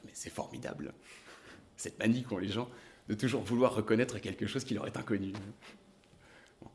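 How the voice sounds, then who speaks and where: Man voicing a methodical detective, measured, deliberate, radio play. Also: fairly low pitch, fairly even intonation, gravelly, a little mellow. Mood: reasoning. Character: calm, reasoning male detective. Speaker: investigator.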